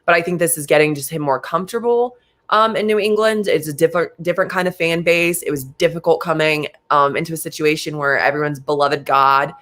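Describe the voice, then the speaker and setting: A man in his late twenties co-hosting a casual sports talk show, animated and quick in bursts, with many fillers. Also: fairly high pitch. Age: late 20s.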